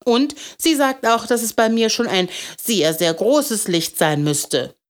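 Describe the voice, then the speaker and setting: Woman playing an earnest little boy, high-pitched. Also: expressive, takes it slowly. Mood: downcast. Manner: solemn, childlike. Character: earnest, downcast little schoolboy buying a candle.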